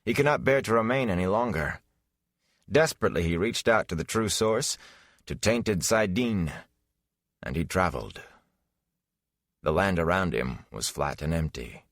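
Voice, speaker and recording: A man narrating a fantasy audiobook in a solemn, measured, dramatic style. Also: low-pitched, expressive, no echo, studio quality.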